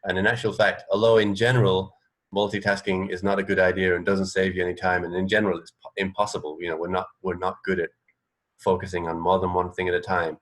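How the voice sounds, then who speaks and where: A man's voice: low-pitched, flat delivery, fast, a bit bright. A middle-aged man co-hosting a casual radio chat, explaining things in a hesitant way. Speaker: radio show co-host. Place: indoor room, video call.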